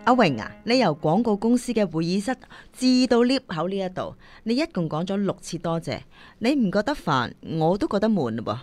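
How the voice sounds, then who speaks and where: Woman acting a composed, teasing teacher, mid-pitched. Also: big pitch swings, a little fast, a little mellow, faint music behind, lightly acted. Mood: teasing, amused. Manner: teasing, assured. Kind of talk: Cantonese radio drama acting.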